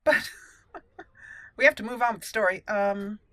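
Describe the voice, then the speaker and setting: A woman's voice: medium pitch, unhurried, fairly bright tone, no room sound. A woman hosting a gaming channel, giving casual roleplay commentary as she plays. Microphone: voice-over over game audio.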